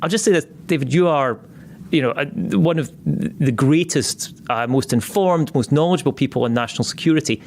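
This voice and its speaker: A man's voice: on the high side, a little fast, darkish tone, slight background noise. A man with a Scottish accent hosting a podcast interview, chatty and joking, with some repeated false starts. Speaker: podcast host.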